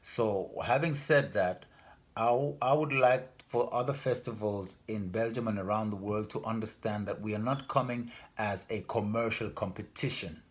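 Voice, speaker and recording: A man who grew up in Denmark, speaking earnestly as a festival organizer in an informal appeal, repeating himself and pausing with fillers. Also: lowish voice. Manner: earnest, repetitive, loses his thread with fillers.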